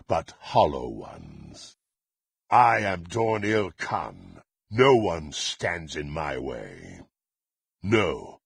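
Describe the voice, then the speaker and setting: A proud, bloodthirsty warrior from a fantasy video game. His lowish voice is rough and gravelly, swinging widely in pitch as he delivers imperious threats in formal, archaic phrasing. The recording is dry and close. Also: no echo, heavily acted. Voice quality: gravelly, husky. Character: menacing, proud, bloodthirsty warrior serving a dark patron.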